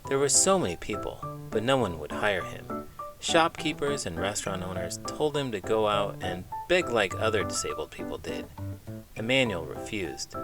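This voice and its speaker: A man's voice: fairly low pitch, big pitch swings, moderate pace, music in the background. A man reading aloud to children as a host, with dramatic, emphatic storytelling delivery. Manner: dramatic, emphatic phrasing with big emotions. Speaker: children's book reader and host, elementary school teacher.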